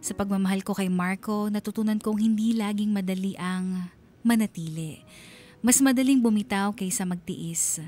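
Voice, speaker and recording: An actress playing a reflective, steadfast wife, narrating a scripted radio drama monologue in a solemn, heartfelt, deliberate way. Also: female voice, mid-range voice, dark tone, close to an everyday voice. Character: reflective, steadfast wife narrating her letter of long waiting.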